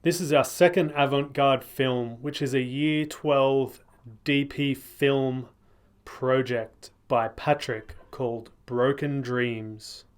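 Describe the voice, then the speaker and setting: Brief: male host, mid pitch, slow and measured film introductions. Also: fairly even intonation, clean tone. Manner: plain, measured presenting. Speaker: festival broadcast host. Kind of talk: introducing films.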